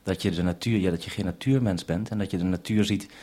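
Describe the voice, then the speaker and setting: Male radio maker, lowish husky voice, halting but reassuring delivery in two-way radio exchanges. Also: dark tone. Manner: hesitant, reassuring. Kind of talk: two-way radio conversation for broadcast. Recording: 1971 radio broadcast recording.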